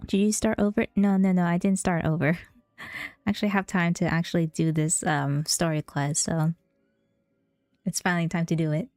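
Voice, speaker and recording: A woman game streamer with a mellow, relaxed voice chats casually and giggles as she plays. She is close and dry on the mic, with game music behind her. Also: on the low side, moderate pace, dry recording. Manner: giggly, friendly. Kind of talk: casual livestream chat while playing.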